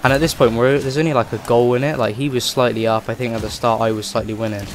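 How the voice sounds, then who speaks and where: A man hosting a gaming channel, chatting casually over his gameplay and rambling with lots of fillers, his voice rough from a cold. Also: medium pitch, fairly even intonation, quick pace, a little hiss or hum.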